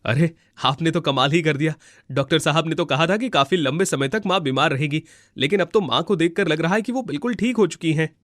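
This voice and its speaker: Man playing a polite, high-voiced grown son. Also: a little fast, a bit bright, no room sound, studio quality, close to an everyday voice. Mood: grateful. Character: polite, concerned grateful grown son and employer. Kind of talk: Hindi story acting.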